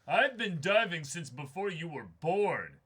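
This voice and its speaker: A man's voice: on the high side, big pitch swings, a little slow, fairly bright tone, husky, pitched above the performer's own voice, full character voice. A man voicing a belligerent older veteran diver in a manga reading, blustering and indignant. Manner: blustering, combative.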